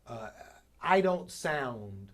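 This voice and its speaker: The American morning radio host in the guest chair, a man with a fairly high, trained voice, telling stories with emphasis and repeating his best lines. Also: sing-song, very slow, a bit bright. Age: middle-aged. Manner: polished broadcaster delivery, emphatic, repeats phrases for effect. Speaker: radio program director and morning show host, interview guest. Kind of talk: animated conversational storytelling.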